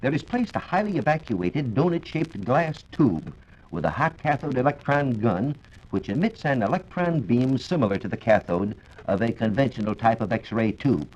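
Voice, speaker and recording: A man's voice: medium pitch, sing-song, moderate pace, darkish tone. American man, formal didactic science reporter, varied intonation, scripted delivery, muffled 1940s radio recording.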